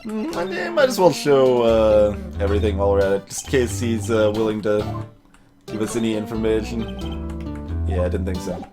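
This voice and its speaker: Male gamer, casual commentary, rambling, musing aloud, lively pitch, background music. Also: moderate pace. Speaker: let's play host.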